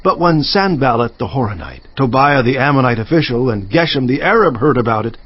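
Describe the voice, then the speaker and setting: A man's voice: mid-range voice, lively intonation, fairly quick, close to an everyday voice. A man playing a devout, earnest cupbearer who narrates his memoir in dramatized scripture, solemn and emphatic. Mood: solemn narrating. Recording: slightly dull audio with music behind. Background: music.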